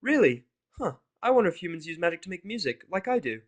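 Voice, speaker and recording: A man's voice: high voice, big pitch swings, fast, voice pushed up. Man putting on a coy unicorn mare. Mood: musing. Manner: playful, cooing. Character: coy, teasing female unicorn pony musician.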